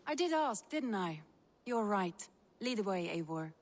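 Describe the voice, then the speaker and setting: An actress playing a spirited, restless Viking woman in a video game, wry and expressive. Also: medium pitch, a little slow, slight background noise. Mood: eager, wry. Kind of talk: video game dramatic acting.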